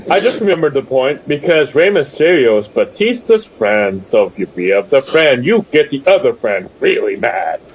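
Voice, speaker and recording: Guy w highish voice swinging all over, mocking podcast banter, noisy audio. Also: very animated, normal speed, hardly any pauses. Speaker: podcast panelist. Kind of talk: joking casual group chat.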